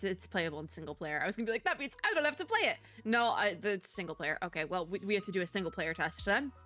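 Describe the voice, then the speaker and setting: A young woman streamer rambles cheerfully about games, tripping over restarts and laughing at herself. Her throat is sore and her voice is starting to go. Faint music plays quietly beneath her. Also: mid-range voice. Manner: chatty, self-deprecating, restarts and trails off. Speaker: VTuber reviewing game showcase. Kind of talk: casual commentary.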